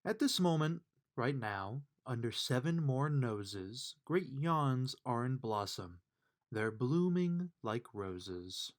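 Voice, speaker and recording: A man reading a rhyming children's bedtime book aloud with measured, emphatic storytelling delivery. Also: mid-range voice, lively intonation. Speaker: storybook reader.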